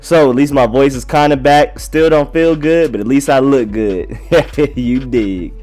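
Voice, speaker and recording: A young man hosting a sports show, hoarse from a cold, talking in a slangy, chatty, emphatic way. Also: mid-range voice, a little slow, darkish tone, faint music behind. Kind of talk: casual sports commentary.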